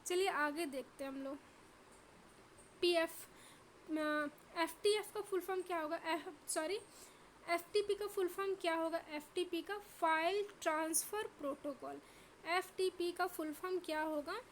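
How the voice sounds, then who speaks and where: A woman's voice: very high voice, a little slow, slight background noise. A woman teacher with a sore throat, drilling exam terms in a lesson by asking each question and then answering it.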